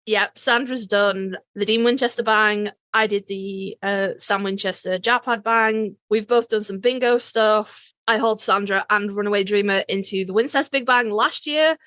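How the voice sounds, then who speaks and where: A woman's voice: mid-range voice, normal speed, fairly bright tone, no echo, studio quality. A woman co-hosting a podcast in casual chat, speaking in an emphatic, informal and playfully blunt way. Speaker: podcast co-host.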